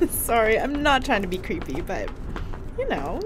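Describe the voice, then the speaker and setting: A bubbly, teasing crewmate talks with a lively, swinging pitch and plenty of laughter. Her voice sits a little high, with steady hiss behind it. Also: measured pace. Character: flirty, chatty female crewmate. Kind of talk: audio drama acting.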